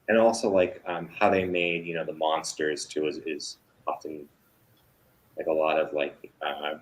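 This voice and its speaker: A man talking as an author guest in a casual interview, halting with many fillers and restarts. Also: low voice, low-quality recording.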